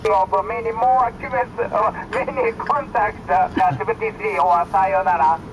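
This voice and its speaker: Older male ham operator, Japanese accent, high voice, very slow halting delivery. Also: lo-fi sound, noisy background. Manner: halting, searching for English words. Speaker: amateur radio operator. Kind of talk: ham radio conversation.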